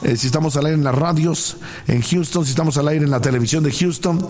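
A man's voice: fairly high pitch, fast, darkish tone. A man from Puebla with a Mexican accent, talking casually as a pastor hosting a live broadcast, effusively blessing listeners and repeating his phrases for emphasis. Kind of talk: casual live talk, greeting listeners and reading messages.

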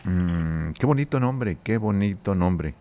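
A man's voice: low voice, lively intonation, slow pace, very noisy. An older man with a Mexican accent hosting a live show in a jovial, folksy, chatty way. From Zacatecas, Mexico. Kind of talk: casual chat with audience.

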